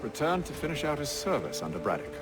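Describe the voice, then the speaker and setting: An actor playing a calculating, confident leader of conspirators in a video game, speaking in composed, formal phrases. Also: male voice, fairly high pitch, very animated, quick pace. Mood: dry confidence. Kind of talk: video game cutscene acting. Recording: music behind the voice.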